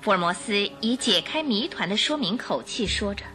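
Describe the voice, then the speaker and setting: A woman narrating a scripted radio drama with expressive storytelling. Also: medium pitch, moderate pace. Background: music.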